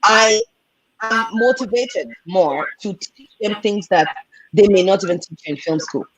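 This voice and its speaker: A woman with a Nigerian accent, a film and TV producer, telling animated stories as a guest on a video call. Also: mid-range voice, unhurried, husky, no echo, faint music behind, low-quality recording. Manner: animated, repeats words for emphasis. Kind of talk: candid storytelling in a conversation.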